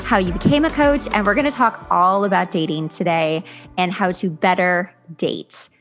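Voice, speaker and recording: A woman's voice: medium pitch, a bit bright. A woman hosting a podcast, interviewing a guest in a casual, conversational way. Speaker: podcast host.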